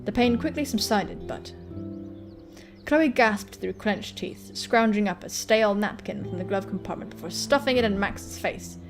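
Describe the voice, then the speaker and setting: A woman's voice: mid-range voice, moderate pace, over music. A woman doing let's-play commentary, reading a visual novel aloud and joking crudely with plenty of swearing. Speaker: gaming video host.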